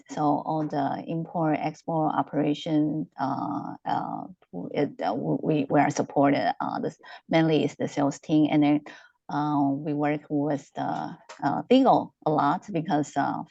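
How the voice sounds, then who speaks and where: A woman who manages logistics, introducing herself on a video call in a halting way with many fillers. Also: low-pitched, gravelly, darkish tone, no echo. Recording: dry, muffled video call audio.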